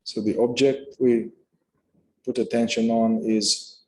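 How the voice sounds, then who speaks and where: A man leading a guided meditation in a calm, unhurried manner, speaking in short phrases with pauses between them. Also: medium pitch, a little hiss or hum, low-quality recording. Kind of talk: guided meditation, unscripted instruction.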